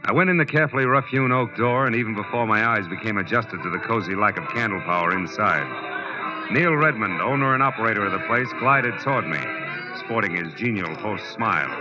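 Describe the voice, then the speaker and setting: A man's voice: on the low side, lively intonation, fairly quick, close to an everyday voice. An actor playing a wry, hard-boiled American private detective, narrating his case in a terse, sardonic way in a 1940s radio drama. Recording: muffled old radio recording with loud music.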